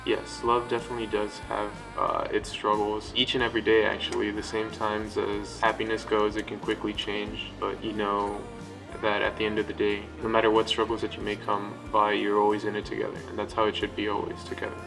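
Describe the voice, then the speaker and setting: A man in his twenties being interviewed, hesitant and soft-spoken, often hedging with "I guess". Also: fairly low pitch, monotone, brisk, creaky, over music. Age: 20s. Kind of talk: documentary interview.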